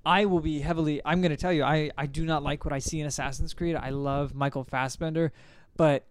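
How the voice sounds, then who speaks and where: A man's voice: on the high side, steady tone, fairly quick, smooth. A man hosting a casual group discussion, giving his opinions in a conversational way. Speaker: podcast host.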